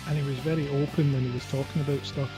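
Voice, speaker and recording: A man's voice: medium pitch, flat delivery, fast. A man reminiscing casually in a group call. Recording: guitar music behind the voice. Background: guitar music.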